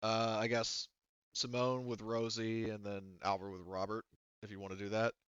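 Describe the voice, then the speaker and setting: A man acting as game master, casually running a tabletop game and thinking aloud in a halting way, on slightly dull audio. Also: lowish voice, little pitch movement, fairly bright tone, clear, resonant tone, no room sound. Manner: halting, talks through rules while thinking aloud.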